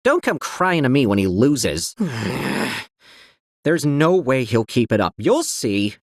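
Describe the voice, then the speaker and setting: A cynical bettor at a video game racetrack. She is blunt and taunting, her low voice slow and extremely animated. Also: no echo, clean studio sound, heavily acted. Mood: scornful.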